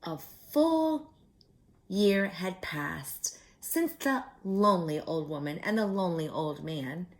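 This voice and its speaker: A woman reading a children's story aloud in an expressive, drawn-out way, with chatty asides to young listeners. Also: on the low side.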